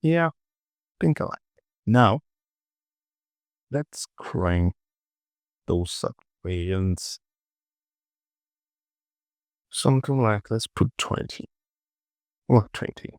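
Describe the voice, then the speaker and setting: A man giving an informal software tutorial as a voice-over, often repeating words and short phrases. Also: lowish voice, expressive, slow, pauses often, dry recording, clean studio sound. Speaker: 3D modelling tutor. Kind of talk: casual screen-recorded software tutorial.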